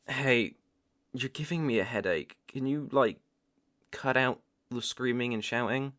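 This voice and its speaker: A young British man playing a stern, taunting vampire hunter in a roleplay audio drama, speaking deliberately and with cool menace. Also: mid-range voice, a bit bright. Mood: irritated, threatening. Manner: slow, deliberate, threatening.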